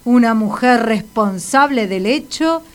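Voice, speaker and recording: A woman's voice: on the high side, expressive, measured pace. A woman playing a stern, haughty, indignant doctor in a comic audio drama.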